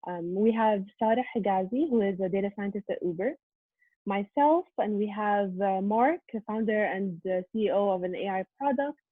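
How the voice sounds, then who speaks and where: A woman giving a prepared, earnest presentation over a video call, steady with frequent um fillers. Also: medium pitch, moderate pace, no room sound. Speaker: conference presenter. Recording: tinny phone-like line.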